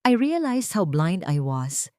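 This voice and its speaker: Humbled rich man, read by a female narrator for story drama. Remorseful, earnest, low, dry recording. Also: big pitch swings, takes it slowly, dry recording. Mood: remorseful, pleading. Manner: hushed, earnest.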